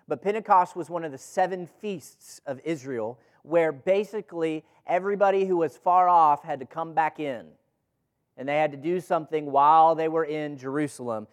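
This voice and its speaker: A man leading a group Bible study in an informal, conversational preaching style, asking rhetorical questions and repeating phrases for emphasis. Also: fairly high pitch. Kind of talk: informal teaching sermon with scripture reading. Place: room with a group gathered.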